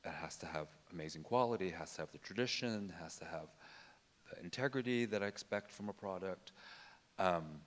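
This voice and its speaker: A man's voice: low-pitched, fairly quick. A middle-aged man, a designer on a stage panel, talking reflectively into a handheld mic with frequent fillers and restarts. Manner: hesitant, many fillers and restarts. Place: stage in a large auditorium. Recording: long reverb of a large hall.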